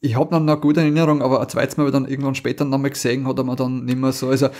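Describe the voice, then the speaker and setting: A man's voice: medium pitch, little pitch movement, very fast, a little mellow. A man, co-hosting a podcast, chatting casually and restarting his sentences while he searches for names. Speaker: podcast co-host. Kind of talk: casual chat.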